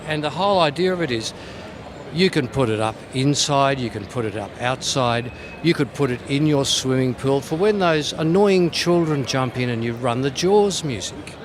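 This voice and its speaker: A man's voice: mid-range voice, expressive, moderate pace, noisy background. A man giving an informal, playful product demonstration as a company representative at a trade show. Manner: tongue-in-cheek, playful.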